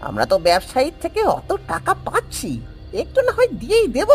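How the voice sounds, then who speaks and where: Sex: woman. Figure: sly male henchman, very high. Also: wildly swooping pitch, fairly quick, exaggerated voice. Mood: scheming. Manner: sly, sneering. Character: scheming male village henchman. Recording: soft background music.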